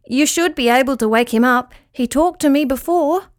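A woman voicing a kind, gentle male four-legged animal who speaks earnestly. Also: high voice, normal speed, no echo, studio quality, voice pushed up, close to an everyday voice. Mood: reassuring.

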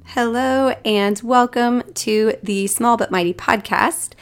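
A woman's voice: a little high, slow pace, clean tone. A woman hosting a solo podcast in a casual, upbeat and encouraging way, still a little congested and scratchy from a recent cold. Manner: upbeat and encouraging, with frequent fillers. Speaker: podcast host.

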